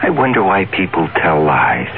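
A woman poses curious questions in a radio spot. Her voice is extremely low and lively in intonation, heard on a narrow-band broadcast. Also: moderate pace, a little hiss or hum.

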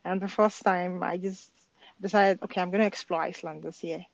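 A woman's voice: lowish voice, steady tone, brisk, creaky, no room sound, dull audio. A young woman from Nigeria, a podcast guest chatting casually and friendly, often laughing, with filler words. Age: young adult. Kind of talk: casual chat.

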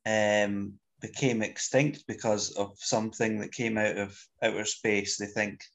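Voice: medium pitch, fairly even intonation, measured pace. Person on a call, curious, reading out a lookup. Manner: curious, reads out a fact he looked up. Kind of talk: casual chat on a video call.

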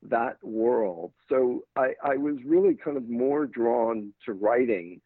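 A man's voice: medium pitch, expressive, slow, no echo. A man with an American accent, a talent manager, giving thoughtful answers in a casual interview with frequent restarts. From England. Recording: dry, tinny phone-like line.

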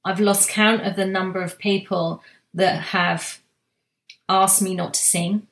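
A woman giving a slow, reflective spiritual talk, soft-spoken, with long pauses and restarts. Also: medium pitch, steady tone, roomy sound. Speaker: spiritual guide sharing monthly energy reading.

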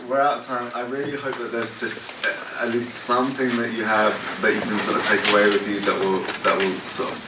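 Low-voiced guy answering questions at a church event, lots of ums, heard from far off in an echoey hall. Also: flat delivery, brisk, few pauses. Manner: hesitant, many fillers and restarts. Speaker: worship leader leading a panel session. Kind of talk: unscripted talk answering questions. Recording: echoey room, distant mic, noisy.

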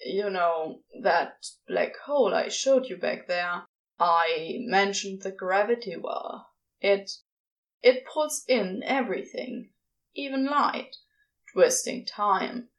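A woman voicing a laconic male supernatural being in a story, casual and halting, bashful with tenderness underneath. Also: medium pitch, lo-fi sound. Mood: halting, nervous. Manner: casual, halting, clipped phrasing. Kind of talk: podfic character dialogue.

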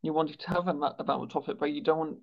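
A man with a stammer who speaks haltingly, repeating words and sounds as he hosts a conversational interview. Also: on the high side, steady tone, creaky. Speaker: interviewer and stammering awareness campaigner. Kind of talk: conversational interview on a video call. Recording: dull, lo-fi video call audio.

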